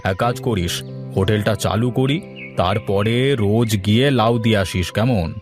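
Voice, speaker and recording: A man's voice: lowish voice, a little slow, faint music behind, close to an everyday voice. A man playing an easygoing village elder brother in a cartoon, talking in a familiar, folksy, colloquial way. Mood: matter-of-fact. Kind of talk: cartoon voice acting in a village moral tale.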